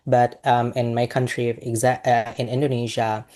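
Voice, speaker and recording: A man's voice: medium pitch, flat delivery, moderate pace, a little mellow. Hesitant young man, filler-heavy answers. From Saudi Arabia. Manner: hesitant, many ums and uhs. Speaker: podcast guest, PhD researcher. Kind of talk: conversational interview answers.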